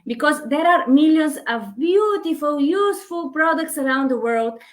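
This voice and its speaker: A woman with a Hungarian accent who runs an online business, talking in a halting way with many fillers and restarts. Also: sits high, animated. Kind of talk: casual conversation.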